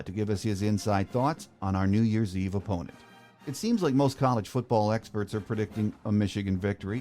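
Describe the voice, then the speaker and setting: A man with an American accent speaks in a fairly low register. He hosts a sports podcast, presenting prepared introductions and putting questions to a guest, with faint music at times. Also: warm tone. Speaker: podcast host.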